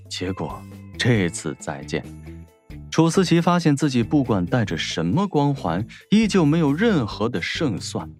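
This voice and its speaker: A man's voice: mid-range voice, darkish tone. A man reading a novel as a dramatic scripted narration. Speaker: audiobook narrator.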